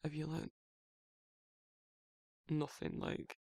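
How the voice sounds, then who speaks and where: A man voicing a gruff but caring werewolf in an intimate ASMR roleplay, with a husky Northern English accent and a hesitant, informal way of talking. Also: fairly high pitch, monotone, slow, dark tone, no echo, studio quality, full character voice. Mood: awkward, hesitant. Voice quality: husky, gravelly. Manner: hesitant, informal, stumbling over feelings. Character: gruff but caring young male werewolf, awkwardly protective.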